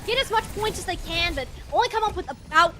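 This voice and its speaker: A woman's voice: very high voice, lively intonation, fast, background noise. A woman doing live play-by-play commentary as an esports caster, with music playing behind her.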